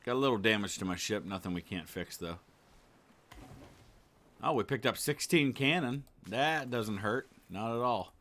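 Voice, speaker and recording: A man's voice: on the low side, expressive, moderate pace, a bit bright. A man giving laid-back, casual commentary as he plays a game, thinking aloud. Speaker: gaming YouTuber. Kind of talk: casual let's play commentary.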